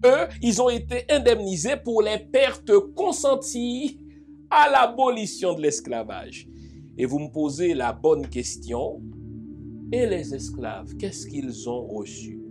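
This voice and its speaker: A man, his voice sitting a little high, argues his case as a show host. He talks emphatically, throws rhetorical questions at his listeners and keeps dropping in "n'est-ce pas". Faint music plays underneath him. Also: very animated, takes it slowly. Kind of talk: opinion talk monologue.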